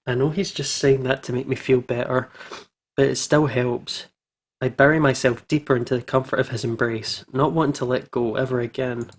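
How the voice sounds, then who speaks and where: A man's voice: medium pitch, fairly even intonation, moderate pace. A man hosting a let's play, reading game narration aloud and chatting about it, easily amused. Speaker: gaming video host.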